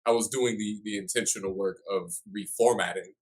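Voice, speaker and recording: A man co-hosting a podcast, speaking thoughtfully with frequent pauses and restarts. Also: lowish voice, fairly even intonation, a little mellow. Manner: thoughtful, hesitant with many "um"s and restarts. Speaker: podcast co-host. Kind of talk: casual conversational interview.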